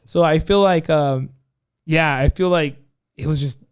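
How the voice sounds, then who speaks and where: A man with a fairly high, smooth and clear voice talks loosely in casual podcast chat. He rambles through stories, stacking up "like" and restarting his sentences, with a joking edge. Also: measured pace. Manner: rambling, many "like" fillers, joking. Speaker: podcast co-host.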